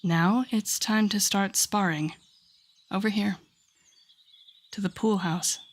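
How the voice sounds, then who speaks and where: A woman's voice: mid-range voice, fairly even intonation, slow pace. A woman playing a wry, teasing telepath villain who mentors a rookie hero, in an intimate, conversational audio roleplay. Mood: teasing, instructive. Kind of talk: intimate second-person audio roleplay acting.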